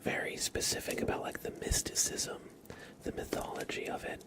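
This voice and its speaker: A man hosting an ASMR livestream, chatting softly and mostly in a breathy whisper. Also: normal speed. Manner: soft-spoken, mostly whispering. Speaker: livestream host.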